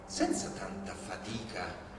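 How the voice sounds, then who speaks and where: A man giving a measured, declamatory theatrical reading as a stage performer. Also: low voice, sing-song, very noisy. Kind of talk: theatrical dramatic reading with music. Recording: very echoey distant hall recording with music. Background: loud musical instrument.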